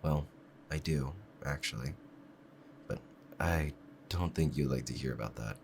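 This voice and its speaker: A man playing a doting, obsessive kitsune husband in an intimate ASMR roleplay, speaking softly and clingily to the listener. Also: extremely low pitch, unhurried, slight background noise. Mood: clingy, hesitant. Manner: soft, clingy, adoring, hesitant.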